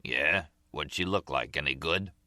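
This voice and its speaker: Audiobook mob boss, male reader acting: dismissive tough guy, very deep, dry close sound, blunt delivery. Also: measured pace, sharp tone, dry recording, exaggerated voice. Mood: gruff, offhand. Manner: terse, indifferent, blunt tough-guy talk.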